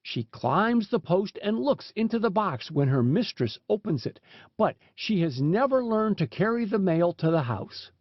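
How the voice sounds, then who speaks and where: A man's voice: sits high, big pitch swings, normal speed, no room sound. A man reading a storybook aloud as an audiobook narrator, in a scripted narration style. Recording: dull, lo-fi sound.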